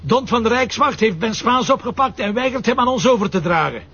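An actor playing a stubborn, wry police commissioner with a Flemish accent in a radio drama, combative and determined. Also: male voice, very high voice, expressive, brisk, sharp tone, breathy edge, highs cut off, heavily acted. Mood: indignant, determined.

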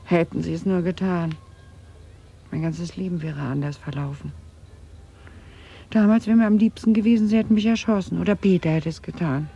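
An actress playing a haunted middle-aged woman in a German radio drama, confiding grave family guilt in a halting, husky voice. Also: lowish voice, dark tone. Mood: bitter. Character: haunted Dutch woman confessing her family's wartime guilt. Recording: slightly dull 1980s radio recording.

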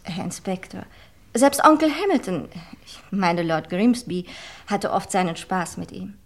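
A woman's voice: fairly high pitch, close to an everyday voice. An actress playing a wry, airy young upper-class lady in a vintage crime radio drama, chatty and polished.

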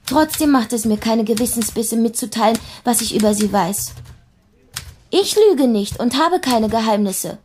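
An actress playing a principled young shop assistant, giving a formal, precise police statement in a radio play. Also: female voice, on the high side, animated, normal speed, darkish tone, slight background noise, lightly acted. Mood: earnest, matter-of-fact.

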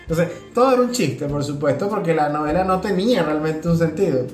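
A Venezuelan woman with a fairly low voice talks casually, explaining and doubling back on words. The room is echoey, and guitar music plays clearly behind her. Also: a little fast, a little mellow. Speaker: podcast co-host. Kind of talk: casual chat.

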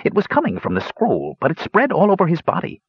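A male narrator acting out a battle-weary old dwarf hermit for a fantasy story, coarse and grumpy. His rustic, ungrammatical talk is pitched up high and leaps about, with a husky edge on a dull recording. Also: wildly swooping pitch, brisk, no room sound, exaggerated voice. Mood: storytelling. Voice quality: coarse, growling. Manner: folksy, rustic, ungrammatical speech. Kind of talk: fantasy audiobook character voice.

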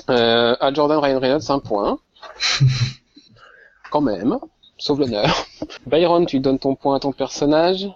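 A man's voice: medium pitch, darkish tone. A man hosting a casual panel chat, teasing the guests and laughing often. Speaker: host. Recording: dull lo-fi sound.

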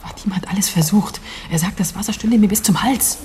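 An actress playing an anguished, soft-spoken Russian mother in an intimate radio drama. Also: medium pitch, a little fast, whispered, natural voice. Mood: anguished pleading.

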